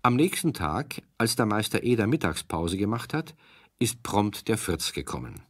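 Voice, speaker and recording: A man narrating a scripted children's story as a storyteller, with a husky voice. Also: fairly low pitch, very animated, normal speed, no room sound, clean studio sound.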